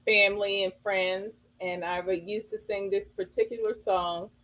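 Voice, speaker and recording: A woman's voice: medium pitch, fairly even intonation, normal speed, a bit bright, poor audio. A woman singer from Illinois, answering interview questions in a hesitant way with many fillers. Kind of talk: casual interview chat.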